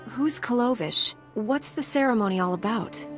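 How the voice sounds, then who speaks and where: An actress playing a resolute, composed young apprentice heroine in a video game. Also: female voice, fairly high pitch, lively intonation, normal speed, soft background music, natural voice. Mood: earnest. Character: resolute young female Jedi apprentice hero.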